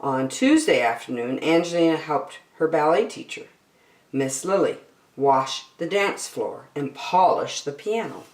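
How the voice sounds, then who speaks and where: A woman reading a children's story aloud with expressive narration and dramatic phrasing for young listeners, in a roomy-sounding recording. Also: low voice, a little slow.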